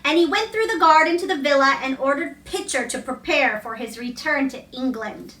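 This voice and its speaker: A woman's voice: high-pitched, normal speed, pushed and bright. A woman reading a novel aloud as storybook narration. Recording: echoey room, distant mic.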